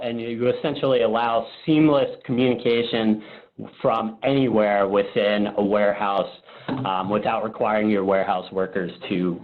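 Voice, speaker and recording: A man presenting a product webinar casually, informal and thinking aloud in a hesitant way. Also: mid-range voice. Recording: phone-like narrow-band webinar audio.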